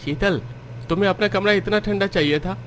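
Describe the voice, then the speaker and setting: A man's voice: high-pitched, fairly quick, fairly bright tone, slight background noise, voice pushed up. A man voicing a young husband in a cartoon story, exclaiming and questioning with concern for his wife. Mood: concerned, surprised. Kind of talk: cartoon story character acting.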